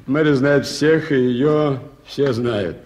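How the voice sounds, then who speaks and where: An actor playing a talkative, deferential journalist in an early 1980s Soviet radio play. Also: male voice, mid-range voice, slow, a little mellow, slight background noise, close to an everyday voice. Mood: emphatic, confiding.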